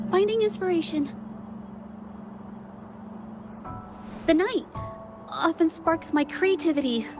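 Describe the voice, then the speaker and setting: An actress playing a shy, hesitant young woman artist who is quietly determined, in a video game. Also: extremely high pitch. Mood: flustered, apologetic. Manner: hesitant, stammering, soft-spoken apologies. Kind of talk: English video game voice acting. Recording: music behind the voice.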